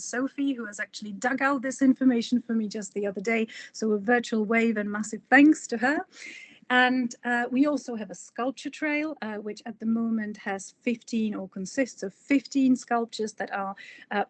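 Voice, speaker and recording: A woman's voice: medium pitch, no echo. A woman from the Republic, a curator giving a prepared but informal talk in an enthusiastic, effusive manner. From Czech Republic.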